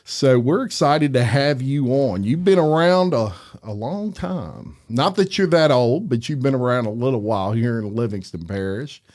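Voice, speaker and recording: A man's voice: medium pitch, lively intonation, normal speed, few pauses, a little mellow. A man hosting a local podcast interview, upbeat and chatty, often restarting his sentences. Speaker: podcast host. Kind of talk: casual interview chat.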